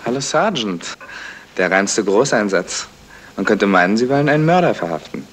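A man's voice: fairly low pitch, animated, moderate pace, a bit bright. An actor playing a blunt, incredulous man in a radio crime play. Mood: mocking. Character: blunt, loud, incredulous male guest. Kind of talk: 1970s German radio crime play acting. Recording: noisy vintage radio play recording.

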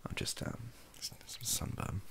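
A young man chatting softly in a rambling, self-deprecating ASMR style, hesitant and husky, close to the microphone. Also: moderate pace. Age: young adult. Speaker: ASMR video creator. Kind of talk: softly spoken ASMR chat. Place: small bedroom at a desk.

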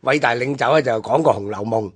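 A man's voice: mid-range voice, quick pace, husky. A man with a Hong Kong Cantonese accent explaining things conversationally, as a commentator on a radio history programme.

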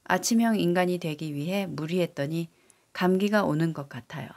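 Fast-reading synthetic woman voice. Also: fairly low pitch. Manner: even, careful read-aloud of repeated sentences. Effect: AI text-to-speech voice. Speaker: language lesson reader. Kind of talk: scripted sentence drills.

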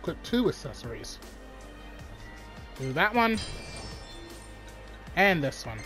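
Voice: mid-range voice, sing-song, slow, a bit bright. A gamer giving casual let's play commentary, with a nose stuffed up from a cold. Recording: voice-over with game music behind. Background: video game music and sounds.

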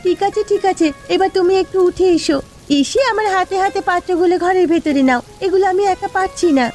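A woman's voice: very high-pitched, fairly quick, a little mellow. A woman playing a weary, fretful but caring village housewife in a cartoon, complaining and pleading dramatically. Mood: concerned, insistent. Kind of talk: Bengali cartoon voice acting. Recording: music behind the voice.

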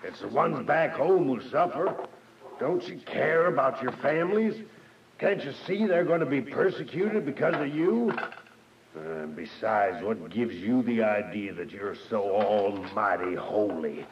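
A man's voice: medium pitch, unhurried, far from the mic, a crowd in the background, natural voice. A man playing a taunting, commanding prison guard in a radio drama. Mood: mocking, menacing. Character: menacing, mocking male prison guard. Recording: dull, noisy radio drama recording, off mic.

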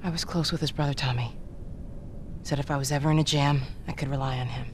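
A woman's voice: a bass voice, fairly even intonation, fast talker, a little hiss or hum. An actress playing a wounded middle-aged female rebel leader in a game, who speaks firmly and urgently, giving orders. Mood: commanding.

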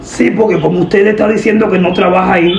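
A young woman making a deadpan prank phone call, giving instructions in an official-sounding manner. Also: on the low side, fast talker, vocal fry, roomy sound, slight background noise. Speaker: prank caller, host. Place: indoor room at a table. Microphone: camera a short distance away.

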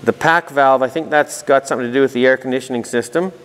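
A man's voice: medium pitch, fast talker. Male explainer host, mid-range voice, talks through technical diagrams conversationally with dry asides, recorded with a long reverberant tail. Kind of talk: informal technical walkthrough of diagrams, partly read aloud. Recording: reverberant room with long echo.

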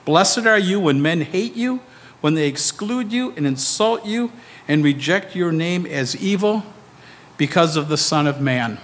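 A man in his sixties reads scripture aloud to a church. His voice sits a little high, and the stand microphone carries the long reverb of the hall. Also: expressive, unhurried. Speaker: scripture reader at a church service. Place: church sanctuary, indoors.

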